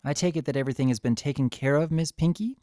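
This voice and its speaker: A man voicing a kindly young female pony childminder in a gentle, friendly manner. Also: fairly high pitch, fast, dry recording, clean studio sound, lightly acted. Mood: warm, approving.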